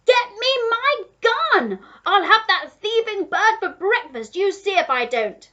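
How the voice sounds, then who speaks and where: An irascible, pompous old duke from a storybook, acted out by a woman. He shouts and splutters in a raised, high pitch that swings widely. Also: big pitch swings, takes it slowly, muffled recording, full character voice. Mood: furious. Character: blustering, irascible oldish English male duke.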